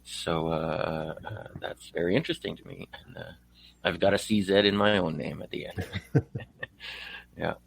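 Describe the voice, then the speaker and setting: A man from Poland interviewing a guest on a book podcast in a conversational, somewhat halting way. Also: deep voice, fairly even intonation, gravelly, breathy edge, low-quality recording.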